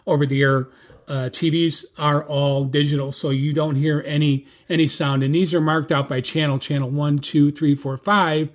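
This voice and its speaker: An American man giving a casual, unscripted product review as a gadget reviewer, with a halting, self-correcting delivery. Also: fairly high pitch, level tone. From United States. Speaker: radio reviewer.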